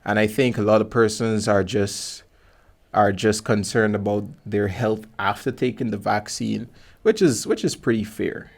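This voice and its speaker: A man's voice: lowish voice, measured pace, gravelly, a little mellow. A man from Guyana, hosting a podcast in a casual, laid-back conversational style full of informal slang. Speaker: podcast host. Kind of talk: casual conversational interview chat.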